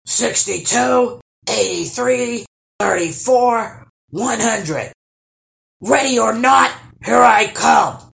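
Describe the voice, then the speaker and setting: A woman voicing a huge, hungry male troll from a children's storybook, gruff and blustering, with a roaring, drawn-out delivery. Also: fairly high pitch, lively intonation, a bit bright, pitched above the performer's own voice, full character voice. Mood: loud counting.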